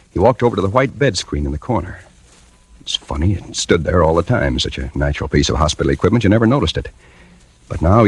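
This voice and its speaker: An actor playing a brash, wisecracking American newspaper reporter, narrating in a hardboiled, sardonic 1940s radio noir style. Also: male voice, low-pitched, husky. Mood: wry, suspenseful. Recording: vintage 1940s radio drama with music.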